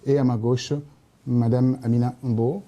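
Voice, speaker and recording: A man's voice: medium pitch, flat delivery, mellow. A man hosting a studio debate, introducing the guests and asking questions with many stumbles and restarts. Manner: halting, many restarts and fillers. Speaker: debate host.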